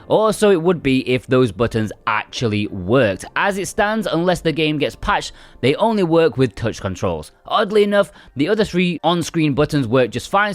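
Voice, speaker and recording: A man's voice: mid-range voice, no room sound. A man narrating a scripted video game review in a conversational, emphatic way.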